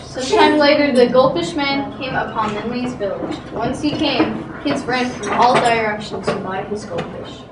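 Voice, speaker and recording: A woman's voice: on the high side, moderate pace, few pauses. A woman narrating a story aloud in an amateur readers theatre style, projecting, with some stumbles. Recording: loud background noise, echoey room, distant mic.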